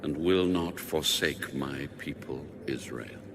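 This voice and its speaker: A man's voice: very deep voice, slow pace, full character voice. An actor playing God, solemnly speaking to a king in a dramatized audio Bible. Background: music.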